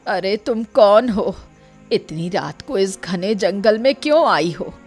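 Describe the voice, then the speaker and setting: A woman voicing a weary, lonely, kindly old village woman in a cartoon story, with a slow, resigned manner. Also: medium pitch, animated, a little mellow, breathy edge, faint music behind. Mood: wary, kindly. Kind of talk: Hindi moral-story cartoon dubbing.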